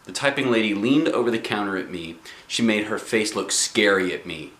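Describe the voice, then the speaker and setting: A man reading a children's book aloud as an animated storyteller, with expressive, dramatic phrasing. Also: on the low side, moderate pace, roomy sound.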